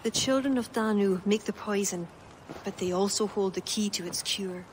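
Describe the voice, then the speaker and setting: A woman's voice: mid-range voice, moderate pace, slight background noise, natural voice, low-quality recording. An actress playing an earnest, worried young woman in a sombre video game drama. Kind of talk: video game cutscene acting.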